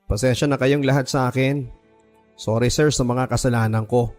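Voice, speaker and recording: A man's voice: medium pitch, fairly even intonation, normal speed, darkish tone, natural voice. A man voicing a proud, wounded male student in radio drama, stressing his words, with music behind. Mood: remorseful. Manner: stressing every word. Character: angry, bitter male student who feels betrayed.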